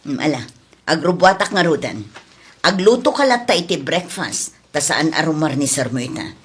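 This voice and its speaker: A Filipino-accented woman playing a brisk, scolding lady of the house in a comedy drama. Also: sits low, lively intonation, husky. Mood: brisk, bossy. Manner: commanding, scolding. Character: bossy lady of the house, brisk female employer. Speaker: actor.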